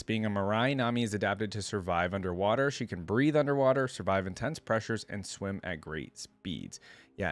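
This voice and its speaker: A man's voice: fairly low pitch, dry recording. A man hosting a reaction video, talking informally and musing aloud as he comments and reads along.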